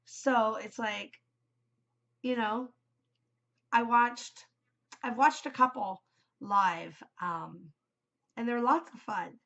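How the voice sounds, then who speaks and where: A woman's voice: fairly high pitch, takes it slowly, gravelly, long pauses, a bit bright, lo-fi sound. A woman hosting a craft podcast, chatting casually with lots of ums, her voice stuffed up and worn from a cold. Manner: rambling, many ums, chatty. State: congested, voice shot from a cold. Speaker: knitting podcast host. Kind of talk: casual solo chat.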